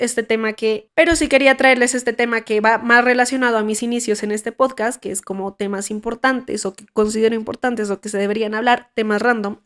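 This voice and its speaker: Colombian woman, hoarse from a cold, fairly high voice, outspoken podcast chat, young adult. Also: fairly bright tone. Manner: frank and outspoken, with frequent restarts. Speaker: podcast host. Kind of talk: casual podcast monologue.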